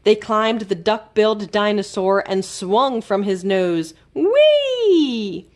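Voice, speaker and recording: A woman storyteller reading a children's book aloud expressively, with careful, dramatic emphasis and pauses. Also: mid-range voice, steady tone. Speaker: library storyteller.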